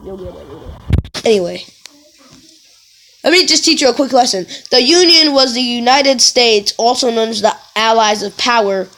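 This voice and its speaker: A woman telling casual stories to viewers in an animated, rambling way, often wandering off and correcting herself. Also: fairly high pitch, normal speed, low-quality recording. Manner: animated, digressive, self-correcting. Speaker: video creator telling stories.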